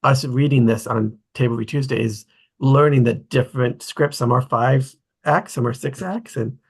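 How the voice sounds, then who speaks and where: Guy just chatting with a group, kinda rambly and halting, raspy crackly voice. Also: medium pitch. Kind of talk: casual group chat.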